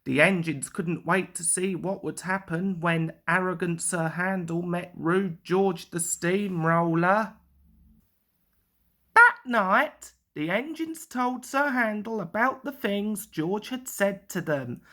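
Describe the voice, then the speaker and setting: A woman's voice: lowish voice, slow pace, a bit bright. A woman reading a scripted children's story aloud as narrator.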